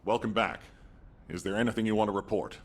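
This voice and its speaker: An actor playing a composed, authoritative military commander in a video game, giving measured orders. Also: male voice, lightly acted. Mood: questioning, serious. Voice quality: husky, deep. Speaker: actor voicing a game character. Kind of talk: scripted game dialogue.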